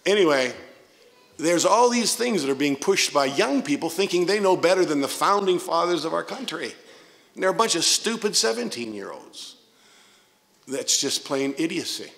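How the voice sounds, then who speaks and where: A man's voice: a little high, animated, normal speed, vocal fry, a bit bright. An older man with a Midwestern American accent, a pastor preaching a sermon in a church sanctuary on a pulpit microphone, telling stories with emphatic asides.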